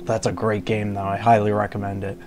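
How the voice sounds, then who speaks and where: A man giving low-key, hesitant, casual commentary as he plays a video game. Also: monotone, brisk, a little mellow, faint music behind. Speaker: gamer commentating on a playthrough.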